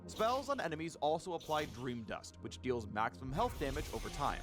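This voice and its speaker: A man's voice: on the high side, big pitch swings, brisk, music in the background. A man reading a playful scripted promotional narration for a video game character showcase. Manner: playful, punning.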